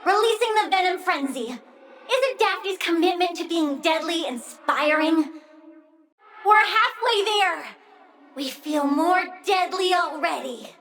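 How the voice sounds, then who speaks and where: A tiny, bratty plant-girl villain from a video game. The voice is extremely high and sharp, taking its time over each threat. It has a childlike petulance and an eager, sing-song delight in cruelty. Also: expressive, slow, distant mic, full character voice. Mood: gleeful, menacing. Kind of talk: video game character voice lines.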